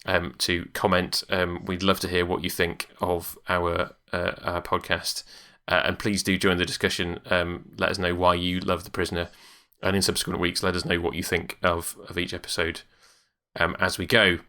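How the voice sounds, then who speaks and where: Very deep, bright-voiced male podcaster. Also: completely flat delivery, moderate pace. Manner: hesitant, lots of ums and uhs. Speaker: podcast co-host. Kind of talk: casual chat.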